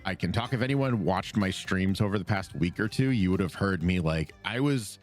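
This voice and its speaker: A man co-hosting a casual fan podcast, getting over a cold, with big pitch jumps and frequent laughs. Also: faint music behind. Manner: animated, quick pitch jumps, laughs often. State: recovering from a cold. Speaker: podcast co-host.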